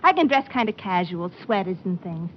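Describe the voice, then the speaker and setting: An actress playing a chatty, guileless young office secretary in a slangy old radio detective drama. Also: female voice, lowish voice, very animated, fairly quick, slight background noise. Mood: chatty, naive. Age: young adult. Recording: muffled old-time radio recording.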